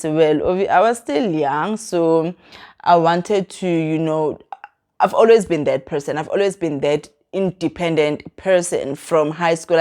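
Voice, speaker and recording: A South African woman in her thirties talks casually to her viewers in a fairly low voice. She rambles, corrects herself mid-sentence and slips Zulu phrases into her English. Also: a little mellow. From Mpumalanga, South Africa. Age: 30s. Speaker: vlogger. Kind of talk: casual chat to camera, self-introduction.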